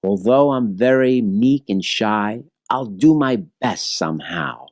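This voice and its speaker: A man voicing a meek, devoted donkey in a children's storybook, with earnest, expressive delivery. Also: medium pitch, slow. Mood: humble resolve.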